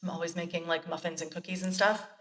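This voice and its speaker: A woman in her thirties, a college librarian chatting as a guest, self-deprecating and quick to laugh. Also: on the low side, little pitch movement, fairly bright tone, far from the mic. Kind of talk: casual interview chat. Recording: echoey room, voice from off the mic.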